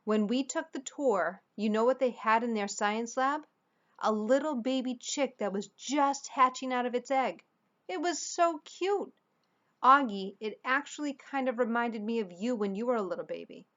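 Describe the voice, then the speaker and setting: A woman voicing a gentle mother in a storybook, reassuring and persuasive as she coaxes her child. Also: on the high side, measured pace, dull audio, voice pushed up. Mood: coaxing. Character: gentle, encouraging, coaxing mother.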